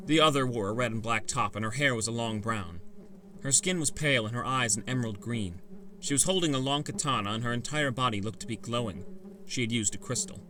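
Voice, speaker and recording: A man's voice: mid-range voice, pushed and bright. A man narrating a first-person fantasy adventure story in a scripted, dramatic audiobook style.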